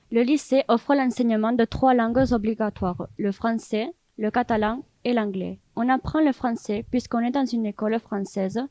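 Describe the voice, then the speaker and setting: A woman's voice: fairly high pitch, mellow, dry recording. Teenage girl reading, dry close sound. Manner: reads a prepared text.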